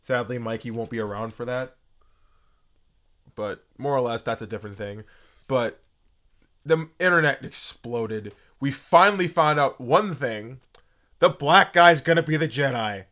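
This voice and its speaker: Excitable man chatting on a podcast. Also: medium pitch, unhurried. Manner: excitable, jokey fan talk. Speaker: podcast co-host. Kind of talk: casual chat.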